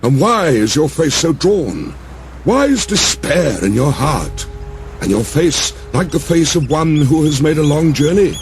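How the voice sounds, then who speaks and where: A wary wine-making goddess , played by a male narrator, insistent and questioning. The pitch is raised and swings expressively, over music in an epic audiobook. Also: very animated, measured pace. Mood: probing, challenging. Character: wary, questioning divine woman tavern-keeper. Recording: music behind the voice.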